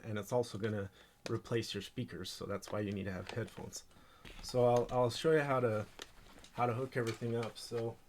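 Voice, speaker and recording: A man presenting an informal hands-on tech tutorial, speaking haltingly as he thinks aloud while handling gear. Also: fairly low pitch, darkish tone, a little hiss or hum.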